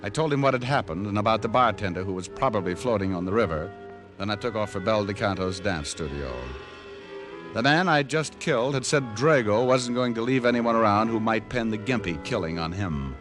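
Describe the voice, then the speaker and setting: An American actor playing a cool-headed, wisecracking private detective in an old-time radio crime drama, dry and unruffled. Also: male voice, fairly low pitch, brisk, music in the background, close to an everyday voice. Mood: tense, grim. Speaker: actor playing the lead and narrator. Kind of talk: 1940s-50s radio detective acting and narration.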